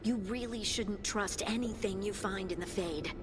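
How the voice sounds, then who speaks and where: A woman's voice: mid-range voice, moderate pace, noisy background, lightly acted. A woman playing a wary, skeptical companion who speaks with concern in dramatic video game dialogue. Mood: wary, cautioning.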